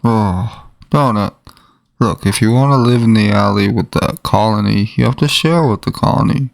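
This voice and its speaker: A man voicing a blunt, folksy, streetwise male pigeon with a gravelly voice in an animated short. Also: fairly low pitch, normal speed. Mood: stern. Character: streetwise, pushy male alley pigeon, older buddy type.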